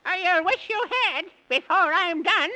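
A woman's voice: normal speed, sharp tone, heavily acted. A woman playing a gloating old witch who cackles and threatens in rhyme in a 1930s children's radio serial. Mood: menacing, gloating. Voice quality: shrill cackling. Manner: taunting, rhyming threats.

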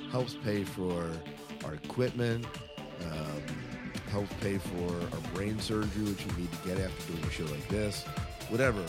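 Low-voiced man podcasting over music. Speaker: podcast host. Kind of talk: casual podcast chat. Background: music under the voice.

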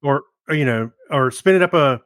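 A man's voice: medium pitch, normal speed, a bit bright, dry recording. A man co-hosting a podcast, chatting in an easygoing, informal way. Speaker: podcast co-host. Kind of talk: casual chat.

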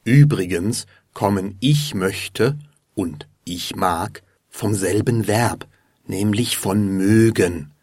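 A man hosting a lesson podcast, slowly and patiently explaining grammar to learners. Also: lowish voice, darkish tone, breathy edge, no room sound. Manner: deliberate and patient. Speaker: German teacher and podcast host. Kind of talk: slow, clear explanation for learners.

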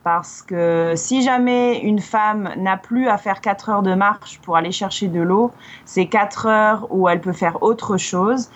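A woman's voice: medium pitch, takes it slowly, hardly any pauses, muffled recording. A woman from northern France with a light northern French accent, speaking casually as a guest, with frequent hesitations and restarts. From Lille, northern France. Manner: hesitant, many fillers and restarts. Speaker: charity volunteer guest. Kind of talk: casual podcast interview. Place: lives in Melbourne.

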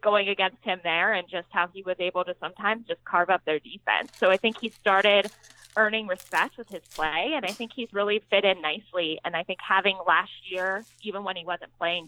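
A woman's voice: fairly low pitch, steady tone, a little fast, pushed and bright, no room sound, poor audio. An American woman, a sports beat writer, giving conversational answers as an interview guest. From Pittsburgh, United States.

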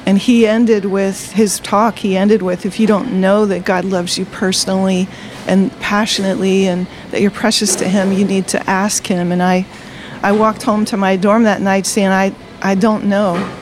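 A woman's voice: mid-range voice, level tone, normal speed, poor audio. A woman in her sixties from Delaware, with a mid-range voice, tells her life story with frequent laughter. Recorded in a coffee shop, with faint café noise behind her. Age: 60s. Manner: cheerful, laughs often. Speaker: guest, retired teacher. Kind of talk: personal testimony in conversation.

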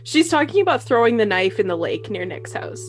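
A woman's voice: mid-range voice, very animated, fairly quick, faint music behind. A woman hosting and narrating a comedic podcast reading, with wry explanatory asides.

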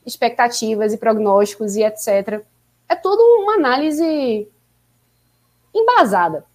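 A woman's voice: on the high side, expressive, moderate pace. A woman co-hosting a casual live podcast stream, chatty and upbeat as she urges viewers to like and subscribe. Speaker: podcast co-host.

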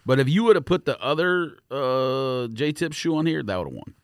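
A man's voice: mid-range voice, animated, no room sound. A man co-hosting a podcast, bantering casually in a slangy, jokey way. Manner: slangy, jokey, quick to laugh. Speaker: podcast co-host.

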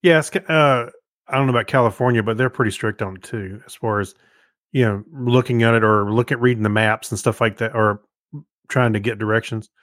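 A man's voice: medium pitch, a little fast, a bit bright, no echo, clean studio sound. A man co-hosting a podcast in casual conversation, sharing everyday anecdotes. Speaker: podcast co-host. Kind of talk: casual chat, personal anecdotes.

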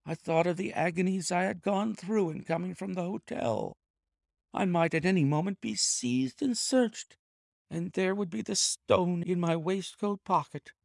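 A man's voice: high-pitched, unhurried, creaky, a little mellow, dry recording, studio quality, pitched above the performer's own voice. A man reading aloud and voicing a frightened, cornered male thief who pleads and confesses in a panicky way. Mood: nervous confession. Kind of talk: audiobook character voice.

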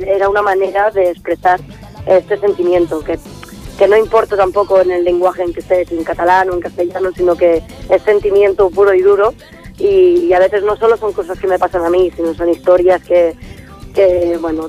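A woman from Barcelona, a singer, answering interview questions in a relaxed, reflective way. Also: fairly low pitch, brisk, soft background music. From Barcelona, Spain. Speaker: singer, guest.

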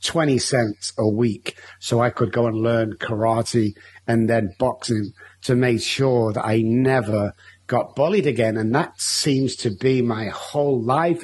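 A man from Manchester with a Mancunian accent tells his story as an interview guest, candid and rambling, often restarting his sentences. His voice sits at a middling pitch and carries a husky, slightly rough texture. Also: darkish tone. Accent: Mancunian English. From Manchester, England.

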